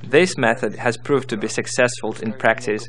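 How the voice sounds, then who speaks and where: Guy narrating a how-to, scripted instructions, normal mid pitch voice. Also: moderate pace, fairly bright tone. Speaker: tutorial narrator.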